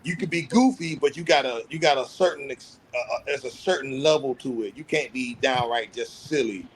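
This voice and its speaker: Thirties man, podcast panelist: earnest, self-reflective, medium pitch, candid casual talk. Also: fairly quick, slight background noise, rough recording. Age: 30s. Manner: earnest, self-reflective, opens up about feelings.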